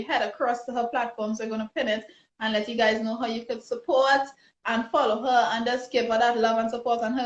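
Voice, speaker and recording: A woman with a Trinidadian accent hosts a live online interview in a fairly high voice, chatty and upbeat, stumbling and restarting as she goes. Her sound is roomy and muffled, like a video call. She speaks through a phone or laptop. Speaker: host. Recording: roomy, dull lo-fi livestream audio.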